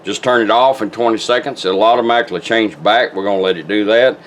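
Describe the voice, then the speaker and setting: A man's voice: lowish voice, fast talker, slight background noise. A man talking casually and plainly as he demonstrates a product step by step, in a folksy, instructional way. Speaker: car radio restorer demonstrating a product.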